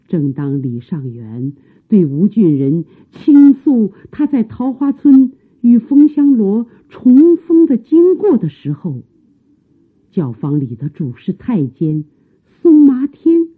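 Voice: medium pitch, breathy edge. A narrator delivering scripted storytelling for a period radio drama. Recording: phone-like lo-fi radio recording.

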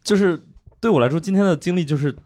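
A man's voice: high voice, fast, crowd noise. A man hosting a live podcast, questioning a guest from the audience with teasing follow-ups. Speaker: podcast host.

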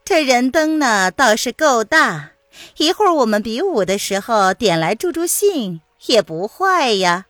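A woman's voice: fairly high pitch, very animated, measured pace, fairly bright tone, dry recording, pitched above the performer's own voice. A woman voicing a cold, taunting villainess. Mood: coolly mocking. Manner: mocking, composed and commanding. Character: cold, taunting villainous woman, martial-arts sect mistress. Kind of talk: dramatised audiobook acting.

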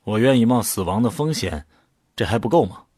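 A man playing a somber, resolute volunteer who narrates solemnly and deliberately in a science fiction audio drama. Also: fairly low pitch, animated, darkish tone, slightly rough, no room sound, studio quality. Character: somber, resolute male volunteer narrating humanity's last refuge. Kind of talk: scripted dramatic first-person narration.

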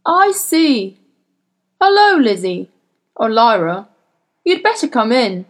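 A woman voicing a smooth, calm, powerful wealthy gentleman collector, courteous but with a cold edge, in a storybook reading. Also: on the high side, takes it slowly.

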